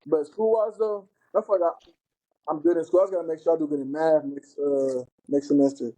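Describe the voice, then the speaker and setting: A young college football player from Cleveland speaking haltingly, with many restarts and "you know.". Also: male voice, on the high side, fairly even intonation, warm tone, rough recording. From Cleveland, Ohio. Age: young adult. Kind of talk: casual podcast chat.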